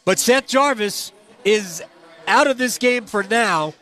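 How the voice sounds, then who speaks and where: A man hosting a hockey radio intermission, talking through highlights in an unscripted, halting way and often correcting himself. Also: expressive, bright, forceful tone. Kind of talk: unscripted sports highlight commentary.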